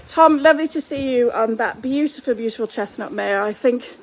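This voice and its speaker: A woman interviewer with a fairly high, slightly breathy voice. She asks long, chatty questions full of enthusiasm. The talk is recorded outdoors. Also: a little fast, rough recording.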